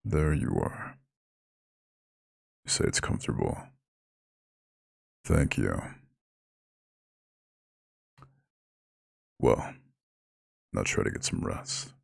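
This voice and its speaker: An ancient eldritch god for an ASMR roleplay, rumbles at an extremely low pitch with heavy, gravelly fry. He speaks with lordly, formal pride and a caretaker's tenderness, his intonation moving freely in a close, dry recording. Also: sing-song, no room sound, heavily acted. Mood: firm, caring. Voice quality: very deep, gravelly, husky growl. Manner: lordly, formal, slow comforting address. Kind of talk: scripted ASMR roleplay monologue.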